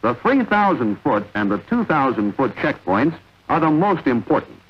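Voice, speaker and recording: A man narrating a vintage military training film in a measured, authoritative, instructional way. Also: medium pitch, big pitch swings, slightly rough. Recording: dull, lo-fi vintage film soundtrack.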